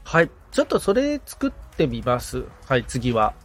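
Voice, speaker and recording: Animated, agreeable man in casual conversation. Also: medium pitch, moderate pace, soft background music. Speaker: podcast assistant receiving advice. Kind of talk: casual conversation with frequent listening responses.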